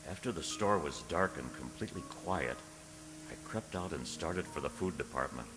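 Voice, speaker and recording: A man's voice: rumbling low, animated, moderate pace. A man playing a secretive, easily unnerved poet, narrating his diary in a literary, theatrical radio drama style. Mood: unnerved, hushed. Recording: reverberant radio play with music underneath.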